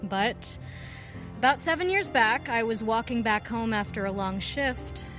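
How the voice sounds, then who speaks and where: A woman's voice: a little high, a little slow, natural voice. A woman acting a bold, earnest, headstrong young vigilante in a pulp audio drama. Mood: admiring. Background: music.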